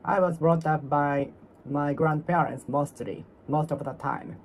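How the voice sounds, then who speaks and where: A speaker from Japan with a Japanese accent, practicing English alone, repeating and reworking each sentence in a careful, halting way. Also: mid-range voice, darkish tone, slight background noise. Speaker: English learner. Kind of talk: solo speaking practice, talking to oneself.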